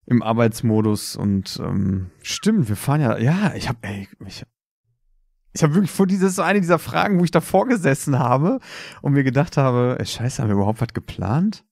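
A man's voice: mid-range voice, animated, normal speed, creaky, darkish tone, no echo. Rambling man in a dry-sounding room. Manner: rambling, hesitant delivery with repeated words. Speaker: podcast co-host. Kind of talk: casual chat.

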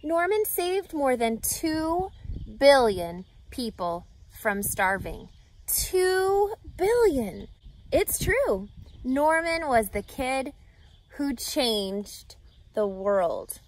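A woman reads a children's story aloud, expressive and emphatic, addressing young listeners. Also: sits high, drawn-out words, poor audio. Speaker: reading teacher, storyteller. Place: outdoors in a grassy lakeside yard.

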